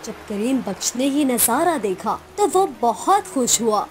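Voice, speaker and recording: A woman's voice: on the high side, big pitch swings, a little slow, slight background noise. A woman narrator reading a scripted cartoon story in storybook style.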